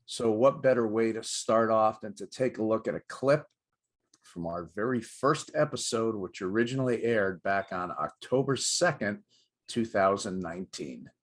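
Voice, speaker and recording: A middle-aged or older man with a fairly low voice presents as co-host of a sports show. He moves between scripted-sounding links and light banter. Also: moderate pace.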